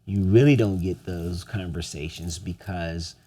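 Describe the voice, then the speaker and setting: A man's voice: sits low, a little slow, mellow. A young man co-hosting a podcast, talking earnestly and asking rhetorical questions. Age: young adult. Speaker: podcast co-host. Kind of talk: casual podcast conversation.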